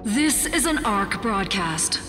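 An actress playing an earnest emergency broadcast announcer, reading a formal, measured news bulletin in a video game. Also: female voice, medium pitch, sing-song, in a large hall, over music. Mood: formal, informative.

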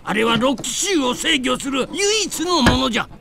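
A man playing a blustering, bossy elderly mad scientist villain in an animated game, pompous and scolding. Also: very high voice, normal speed, gravelly, heavy background noise, full character voice. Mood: grave, explaining. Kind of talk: animated game cutscene acting. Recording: loud music behind the voice.

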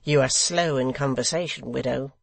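A man's voice: mid-range voice, moderate pace, creaky, no echo, close to an everyday voice. A man voicing a sly, mock-courteous blind extortioner in a theatrical, insistent storybook reading. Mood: insinuating.

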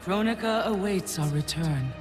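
An actress playing a formal, imperious elder goddess in a video game cutscene. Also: female voice, on the low side, animated, moderate pace, music in the background, lightly acted. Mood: solemn. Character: serene, commanding female elder goddess, coldly menacing.

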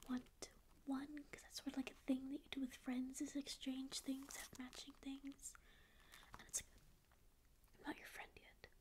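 A woman's voice: high voice, low-quality recording. A young woman whispering as a prickly sleep paralysis demon who insists she doesn't care but keeps listening and reassuring, close to the mic in a small dim room. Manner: whispering, curt denials that soften into reassurance. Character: prickly sleep paralysis demon, secretly caring, faux-apathetic. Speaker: ASMR roleplayer. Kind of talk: whispered ASMR roleplay. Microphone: close studio mic just off-frame.

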